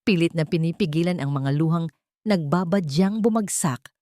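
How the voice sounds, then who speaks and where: A woman's voice: on the low side, normal speed, dark tone, no echo, studio quality. A Filipino woman delivering scripted dramatic story narration.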